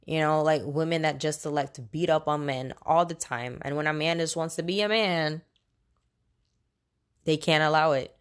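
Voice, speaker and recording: A woman's voice: low-pitched, normal speed, clear, resonant tone. A woman in her late twenties talking casually and candidly as a podcast host, conversational and opinionated in a personal monologue. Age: late 20s.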